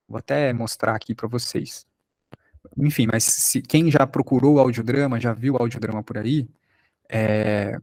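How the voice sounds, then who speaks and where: Brazilian male workshop instructor, mid pitch, dry close mic; informal, self-effacing, conversational lecture delivery. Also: darkish tone, no room sound. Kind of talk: informal online lecture, recounting own experience.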